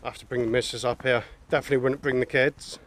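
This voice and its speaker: A plain-spoken man in his thirties talks into a handheld camera while walking outdoors. His voice sits at an ordinary middle pitch, with a faint hiss of outdoor noise behind it. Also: little pitch movement, quick pace. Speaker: hiking vlogger. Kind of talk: casual walking vlog to camera. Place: outdoors on a wooded coastal cliff path. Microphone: handheld camera held at arm's length.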